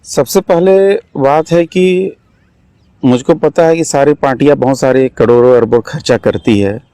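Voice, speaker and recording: A man's voice: medium pitch, a little slow, dark tone, smooth, no echo. Young man with a Jharkhand Hindi accent. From Jharkhand, India. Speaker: election candidate, political activist. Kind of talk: political interview answers.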